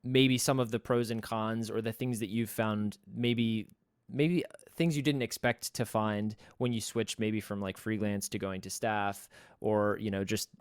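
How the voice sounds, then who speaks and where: A man's voice: on the low side, fairly even intonation, measured pace, clean tone, no echo, studio quality. A man hosting an interview, asking long, meandering questions with restarts. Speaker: host, interviewer. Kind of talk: podcast interview.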